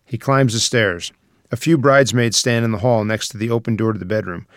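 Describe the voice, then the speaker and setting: Low-pitched man narrating a script. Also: fairly even intonation, brisk, no echo, studio quality. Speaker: narrator reading stage directions.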